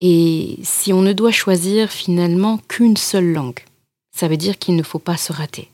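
A young adult woman hosting a podcast as a Quran teacher, talking earnestly and gently straight to the listener. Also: on the low side, normal speed, no echo. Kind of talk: reflective spoken podcast talk.

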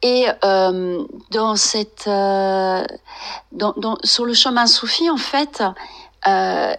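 A woman answering interview questions slowly and thoughtfully, with many hesitations and fillers. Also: medium pitch, vocal fry, fairly bright tone. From Iran, raised in France. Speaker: author and meditation guest.

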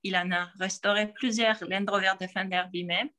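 A woman in her forties with a Georgian accent, answering interview questions in a halting way, with frequent pauses and restarts. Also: medium pitch, fairly even intonation, bright, forceful tone. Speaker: podcast guest.

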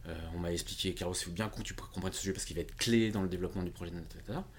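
A man's voice: sits low, fairly even intonation, very fast. A man being interviewed as a podcast guest, telling anecdotes casually and acting out quoted dialogue. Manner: informal, acts out quoted dialogue. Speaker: podcast guest, independent consultant. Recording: clearly audible background noise.

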